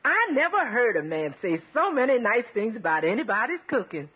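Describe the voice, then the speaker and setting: Very husky household cook. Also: female voice, a little high, sing-song, a little fast, full character voice. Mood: deferential, pleased. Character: deferential, admiring female household cook. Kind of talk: 1950s radio sitcom acting. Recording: dull vintage 1950s radio recording.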